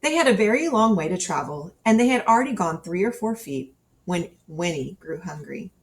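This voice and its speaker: A woman with a slightly low voice reads a children's story aloud. Her delivery is scripted storybook narration, expressive and ready to act out the dialogue. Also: moderate pace. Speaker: storybook reader.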